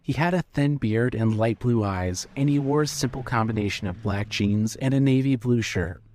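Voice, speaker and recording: A man's voice: mid-range voice, normal speed, a little mellow, slight background noise. A man giving scripted narration of a fiction story.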